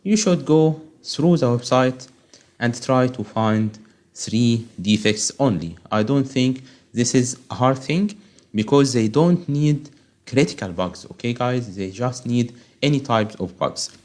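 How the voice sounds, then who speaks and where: A man whose first language is Arabic, tutoring on software testing and walking through a quiz step by step, checking in often with "okay?". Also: mid-range voice, unhurried. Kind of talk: screen-recorded tutorial walkthrough.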